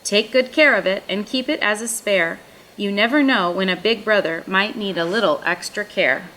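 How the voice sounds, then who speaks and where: A woman voicing a gentle, loving mother raccoon in a children's storybook, warm and motherly. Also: medium pitch, normal speed, slight background noise, lightly acted, poor audio.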